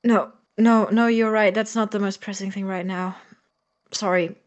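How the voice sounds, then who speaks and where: An anxious young lab partner voiced by a woman in an audio drama, speaking in an everyday, natural way at a middling pitch. The delivery is earnest and wary, with a sardonic edge. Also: fairly even intonation, moderate pace, lo-fi sound, close to an everyday voice. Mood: sheepish, agreeable. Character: anxious, suspicious young roommate Christopher, grave-robbing lab partner.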